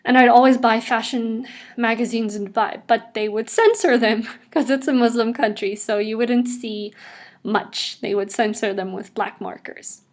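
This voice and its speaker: A woman's voice: on the high side, normal speed. A woman in her twenties with a mixed international accent, chatting casually about her own life while drawing. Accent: mixed international English. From South Africa. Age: 20s. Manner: chatty and upbeat, with frequent laughs and asides. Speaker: vlogger. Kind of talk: casual personal narration over drawings.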